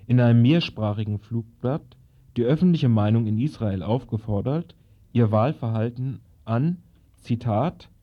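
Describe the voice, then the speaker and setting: Dull-sounding recording, man reading formal statement. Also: medium pitch. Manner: formal, measured, deliberate phrasing. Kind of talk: reading a prepared political statement.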